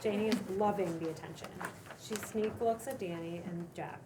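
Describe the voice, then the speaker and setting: A woman's voice: mid-range voice, moderate pace. A woman narrating the stage directions of a script at a live table read, in a noisy recording.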